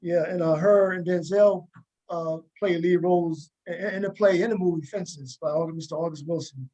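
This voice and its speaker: High-voiced man hosting a casual interview. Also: steady tone, normal speed, warm tone, dull audio. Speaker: interviewer, show host. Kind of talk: casual video interview chat.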